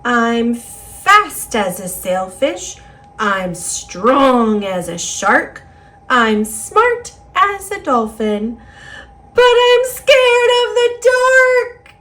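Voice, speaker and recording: A woman's voice: on the high side, big pitch swings, very slow, a bit bright, heavily acted. A woman voicing an earnest, anxious male fish from a picture book in emphatic, singsong rhyme. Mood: boastful, scared. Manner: emphatic, singsong rhyming declarations. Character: earnest, anxious male cartoon fish, brave but afraid.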